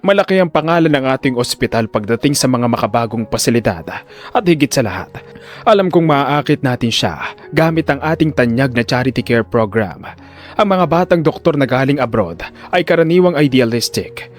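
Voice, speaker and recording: A man's voice: medium pitch, lively intonation, moderate pace, faint music behind, close to an everyday voice. A man voicing a confident, calculating hospital director in his sixties in an audio drama. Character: scheming, self-assured sixty-year-old male hospital director. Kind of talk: Filipino audio drama acting.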